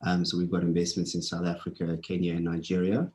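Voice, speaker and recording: Male fund partner on a call; low, level, mellow voice; steady and relaxed. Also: fast talker. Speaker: managing partner of a venture fund. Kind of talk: self-introduction on an online panel.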